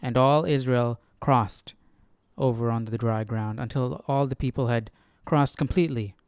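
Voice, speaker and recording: A man hosting a casual Bible study stream, reading aloud and thinking out loud. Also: medium pitch, moderate pace, clean tone, no room sound, clean studio sound. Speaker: Bible study livestream host.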